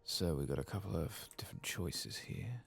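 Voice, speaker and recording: Guy doing soft asmr game commentary, deep breathy voice, lots of fry, sometimes whispers. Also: animated, soft background music. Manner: murmured, unhurried, reading quest text aloud. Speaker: ASMR gaming channel host.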